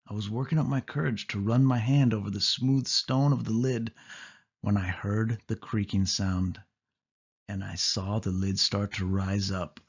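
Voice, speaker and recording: A man narrating a suspense story in the first person, with expressive, dramatic delivery. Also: on the low side, a little slow, husky, lo-fi sound. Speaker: audiobook narrator.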